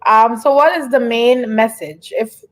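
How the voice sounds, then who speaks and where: A woman's voice: on the high side, a little slow. A woman hosting an online show, interviewing in a casual, conversational and encouraging way.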